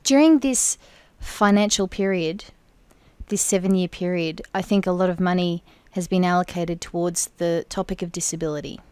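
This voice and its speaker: A woman interpreting for an interview guest in the first person, speaking in measured, deliberate phrases. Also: lowish voice, a little mellow, clear, resonant tone, no room sound. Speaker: sign language interpreter voicing the guest.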